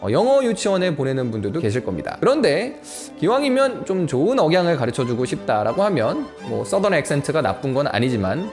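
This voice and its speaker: A man in his twenties telling a playful personal story to camera in a casual monologue. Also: fairly high pitch. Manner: playful, lively, mimics rap-style English. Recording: faint music underneath.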